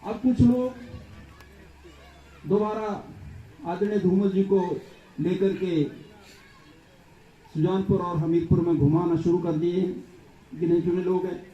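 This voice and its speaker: A man's voice: high-pitched, fairly even intonation, measured pace, pauses often. A man delivering a political speech at a public gathering, building his points through repeated lists. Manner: rhetorical, repetitive lists. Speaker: political speaker. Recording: echoey, distant, dull sound.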